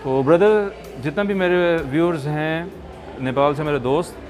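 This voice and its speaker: A man records a casual travel vlog outdoors at a crowded expo, speaking directly to his viewers as if they were friends. His voice sits slightly high. Loud music plays in the background and traffic passes nearby. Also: normal speed. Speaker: travel vlogger. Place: outdoors at a busy expo site.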